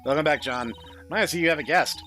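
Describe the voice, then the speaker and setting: A man's voice: fairly high pitch, fast, pushed and bright, faint music behind. A man voicing a playful, teasing, helpful female AI virus assistant in a visual novel. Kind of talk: visual novel read-aloud.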